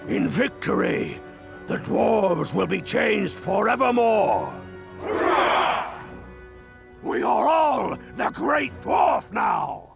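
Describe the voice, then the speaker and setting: A dwarven ritual leader for a fantasy game, raised high and heavily gravelled. He proclaims slowly and grandly, with zeal, over prominent music. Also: very animated, full character voice. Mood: grand, exultant. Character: solemn dwarven ritual leader, booming and zealous. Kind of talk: dramatic fantasy game acting. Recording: music behind the voice.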